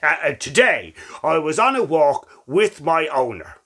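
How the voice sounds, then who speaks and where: A man voicing a loyal, excitable former stray dog in a hesitant, earnest storybook reading. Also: a little high, unhurried, slight background noise, exaggerated voice.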